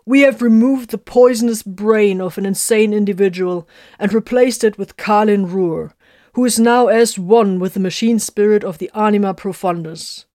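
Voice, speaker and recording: A woman's voice: mid-range voice, normal speed, warm tone, no room sound, clean studio sound, natural voice. A woman voicing a proud, pompous male space marine tech officer who speaks grandly and turns testy when challenged. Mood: grandiose.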